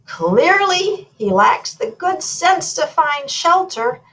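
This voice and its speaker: A high, haughty queen from a children's storybook, as a woman reader plays her. She is fussy and dramatic, drawing out her words in swooping, theatrical exclamations. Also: sing-song, slow, fairly bright tone, far from the mic, a little hiss or hum, heavily acted. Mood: defensive. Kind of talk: storybook read-aloud.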